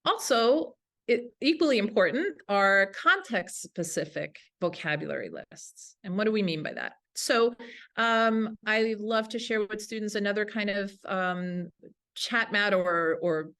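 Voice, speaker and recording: A woman's voice: mid-range voice, sing-song, a little slow, a bit bright. A woman teacher giving an instructional webinar talk to colleagues in an enthusiastic, informal way. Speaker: language teacher presenting a webinar.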